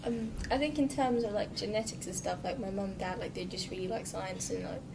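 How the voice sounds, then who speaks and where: A young woman student speaks softly and with hesitation, pausing on her fillers. She is picked up from far off, with steady noise underneath. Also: mid-range voice, creaky. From Pakistan. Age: young adult. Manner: soft-spoken, hesitant, many fillers. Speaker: sixth form student. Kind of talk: candid group discussion. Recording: distant mic with noise.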